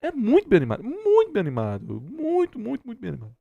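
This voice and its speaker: A man's voice: very high-pitched, huge pitch swings, fast, gravelly, dark tone, no room sound, highs cut off. A Brazilian man reacting casually and with strong opinions, emphatically repeating himself for stress. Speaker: reaction streamer.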